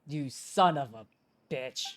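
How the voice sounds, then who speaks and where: A woman's voice: rumbling low, slow pace, crowd noise. A woman streamer giving casual, sweary reaction commentary and reading game text aloud, talking to the characters. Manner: expressive, sweary, talks to the characters. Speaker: gaming streamer.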